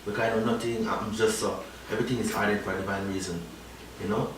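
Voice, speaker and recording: A man's voice: lowish voice, steady tone, fast. Jamaican guy rambling on, lots of "you know", sounds far off in a big echoey room. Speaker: reggae artist guest. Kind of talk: casual interview talk. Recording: echoey, distant, faint noise.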